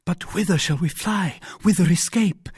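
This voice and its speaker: Anxious young wife, played by a male reader: raised pitch, husky, pleading, formal storybook diction. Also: moderate pace, darkish tone, no room sound, studio quality. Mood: frightened. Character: affrighted, anxious pretty young married woman, earnest and pleading. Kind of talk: audiobook character voice.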